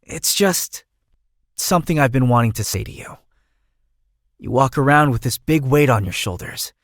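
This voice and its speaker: A young man voicing a gentle, sentimental exiled prince in a video game, speaking in a heartfelt, boyish, self-conscious way. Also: medium pitch, lively intonation, normal speed, no room sound, studio quality, close to an everyday voice. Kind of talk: video game character acting.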